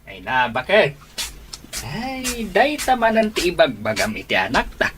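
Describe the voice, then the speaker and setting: A woman playing an old, exasperated village husband and father in an audio drama, with a husky, grumbling voice. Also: fairly low pitch, big pitch swings, measured pace, fairly bright tone, a little hiss or hum.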